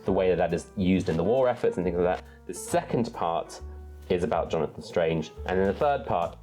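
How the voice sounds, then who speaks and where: A man's voice: deep voice, expressive, fairly quick, mellow, faint music behind. A man hosting an enthusiastic book talk, articulate and wordy, with emphatic phrasing. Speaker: book reviewer and readalong host.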